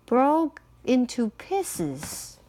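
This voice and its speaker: Animated, high-voiced woman reading a storybook. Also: drawn-out words, slight background noise. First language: Mandarin Chinese. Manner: expressive, switches between reading and chatty asides. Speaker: parent reading aloud to child. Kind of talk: animated picture-book read-aloud with casual asides.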